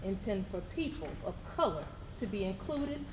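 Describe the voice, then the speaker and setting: A woman's voice: medium pitch, very animated, moderate pace. A woman giving a dramatic staged reading. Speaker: readers theatre performer. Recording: echoey room with clear background noise.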